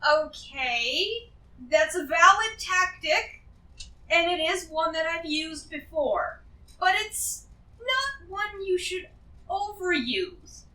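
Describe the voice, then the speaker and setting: A woman's voice: very high voice, expressive, slow pace, pushed and bright, exaggerated voice. A woman playing a greedy, shrewd treasure-hoarder in a fantasy role-play, rambling and emphatic as she justifies herself. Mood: reasoning, persuasive. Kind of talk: improvised tabletop fantasy role-play acting. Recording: echoey room, distant from the mic.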